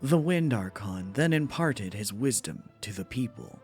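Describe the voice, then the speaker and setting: A man playing a solemn storyteller, reading scripted fantasy narration for a fan dub in a measured, dramatic manner. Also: mid-range voice, lively intonation, breathy edge, soft background music.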